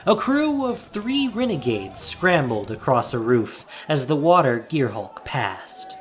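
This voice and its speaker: A man's voice: mid-range voice, big pitch swings, slow pace, slight background noise. A man giving a dramatic, scripted narration of an adventure story as the narrator of an audio drama.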